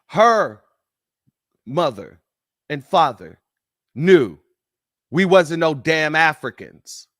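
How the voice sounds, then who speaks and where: Fiery high-voiced man preaching. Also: sing-song, very slow, pauses often, fairly bright tone, dry recording, studio quality. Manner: fiery, emphatic, profane, repetitive. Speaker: livestream host. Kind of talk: preaching-style livestream commentary.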